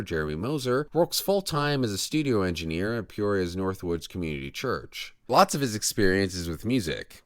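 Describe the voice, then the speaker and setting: A man's voice: lowish voice, a bit bright, no echo, clean studio sound. An American man narrating a scripted news feature as a radio correspondent.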